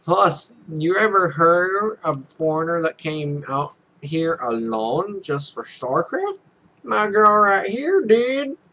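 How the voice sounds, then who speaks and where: A man's voice: on the high side, lively intonation, takes it slowly, sharp tone, a little hiss or hum, rough recording. A young man reading a visual novel aloud as a let's play host, offhand, stumbling over lines and adding casual, sweary commentary. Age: young adult.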